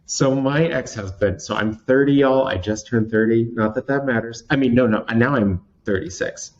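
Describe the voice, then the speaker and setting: Animated man in his thirties, lo-fi sound. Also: medium pitch, moderate pace. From Washington State, USA. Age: 30s. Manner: animated, theatrical, self-mocking with frequent restarts. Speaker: guest. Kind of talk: candid storytelling in conversation.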